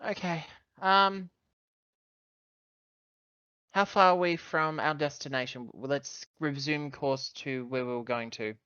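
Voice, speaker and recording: A man's voice: on the high side, slow pace, long pauses, sharp tone, clean tone, no echo, highs cut off. A man chatting casually as a player in a tabletop roleplaying game, deliberating as he goes.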